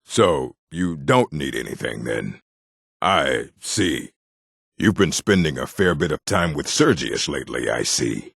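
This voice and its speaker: Stern orc, game character: very low, husky, commanding delivery with wide swings in pitch. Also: male voice, big pitch swings, measured pace, fairly bright tone, dry recording, studio quality, exaggerated voice. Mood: dry, irritable. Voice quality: gravelly, husky. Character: gruff male orc, stern bookish keeper and warrior. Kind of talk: video game character voice acting.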